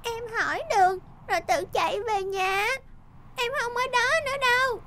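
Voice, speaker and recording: Very high, plaintive little cartoon girl. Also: normal speed, bright, forceful tone, heavily acted. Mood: earnest, plaintive. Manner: childlike, plaintive. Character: tearful, devoted little girl, younger sister. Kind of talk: dubbed cartoon dialogue. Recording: music behind the voice.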